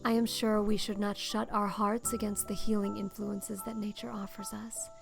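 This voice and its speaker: A woman playing a gentle, wise minister's wife who counsels with sympathy in a storybook reading. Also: medium pitch, little pitch movement, normal speed, vocal fry, music in the background, close to an everyday voice. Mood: gentle comfort.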